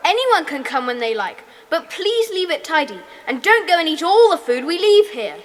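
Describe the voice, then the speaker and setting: A brisk young schoolboy takes charge of his secret club in a children's audio play. The voice is high, bright and lively, commanding and hearty, with long reverb around it. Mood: organising, firm.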